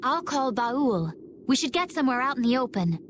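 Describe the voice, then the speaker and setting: Cool composed girl, kinda high, noisy track. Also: sing-song, normal speed. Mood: calm. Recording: game audio with clear noise.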